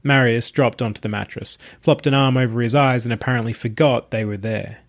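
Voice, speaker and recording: A man's voice: mid-range voice, fairly even intonation, a little fast. A man narrating a scripted short story as its reader.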